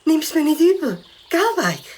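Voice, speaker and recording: A woman playing a hurried, excitable catfish in a children's audio play, with a Swiss German accent. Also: high-pitched. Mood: apologetic. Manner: hasty, excitable. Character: hurried catfish on a scooter, keen angler.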